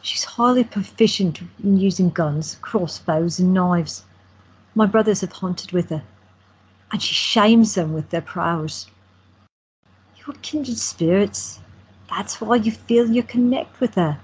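An actress playing a devoted granddaughter who speaks gently and reassuringly. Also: female voice, mid-range voice, takes it slowly, husky. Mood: gentle. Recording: dull lo-fi recording.

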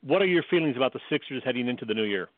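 A man's voice: medium pitch, expressive, racing, no room sound. A middle-aged man co-hosting a sports radio show, delivering talk and commentary. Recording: narrow phone-like radio sound.

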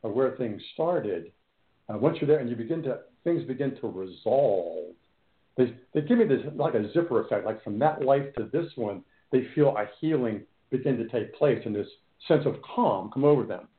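A man working as a hypnotherapist, recounting a client case in a halting, earnest way, often repeating words. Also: medium pitch, animated. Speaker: hypnotherapist guest. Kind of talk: conversational storytelling. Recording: echoey tinny phone line.

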